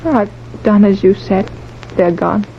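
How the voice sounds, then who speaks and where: A sorrowful, brave young bride speaks with wistful restraint and quiet melodrama. The old radio recording is muffled, with faint noise. She sounds resigned to her fate. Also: mid-range voice, slow pace. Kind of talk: old-time radio horror drama acting.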